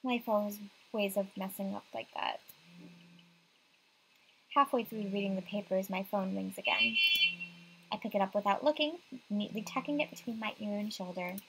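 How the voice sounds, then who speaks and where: A woman's voice: medium pitch, moderate pace, vocal fry, long pauses, fairly bright tone, a little hiss or hum, poor audio. A young woman reading visual novel narration aloud. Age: young adult. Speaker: game streamer reading aloud.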